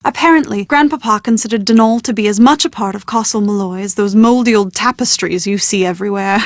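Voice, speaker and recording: An actress playing a well-bred, proud young British bride-to-be in a video game, with prim, articulate, genteel phrasing and exasperated confidence. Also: female voice, medium pitch, fast talker, no echo, clean studio sound, close to an everyday voice. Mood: mildly disdainful. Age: young adult. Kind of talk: scripted video game dialogue.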